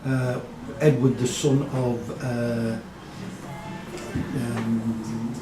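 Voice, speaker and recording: Guy answering quiz questions, mid pitch, stop-start thinking out loud, echoey room with music behind. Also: level tone, very slow. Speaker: quiz contestant. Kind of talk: spontaneous short quiz answers.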